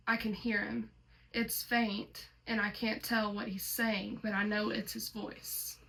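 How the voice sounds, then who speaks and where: A woman's voice: a little high, vocal fry, pushed and bright, poor audio. A woman reading a horror story aloud as host, slipping between dramatic reading and casual commentary.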